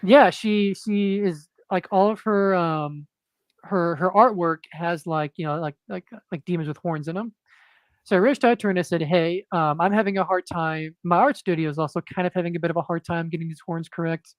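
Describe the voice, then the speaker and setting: A man speaking as a guest comic book creator in a casual interview, monotone and hesitant, with many fillers and restarts. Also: sits high, normal speed, no room sound.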